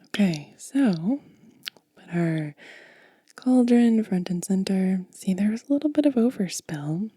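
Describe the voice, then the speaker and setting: Breathy, whispering woman, very soft and dark. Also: medium pitch, expressive, dry recording, studio quality. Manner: gentle, unhurried, casual commentary. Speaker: ASMR video creator and host. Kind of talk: soft-spoken ASMR, hands-on demonstration. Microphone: close mic, dry.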